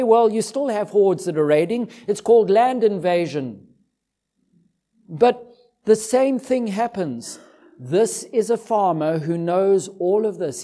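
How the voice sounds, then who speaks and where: A man's voice: high voice, warm tone. A man with a Zimbabwean accent preaching an expository sermon in a didactic way, driving points home with emphatic repetition.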